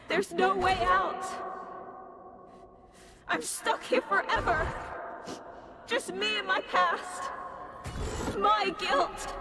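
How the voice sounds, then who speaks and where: A woman's voice: very high voice, noisy background, heavily acted. An actress playing an anguished, despairing woman investigator in a video game, blaming herself in short, weighted phrases. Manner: bitter self-blame in short, weighted phrases. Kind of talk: dramatic video game acting.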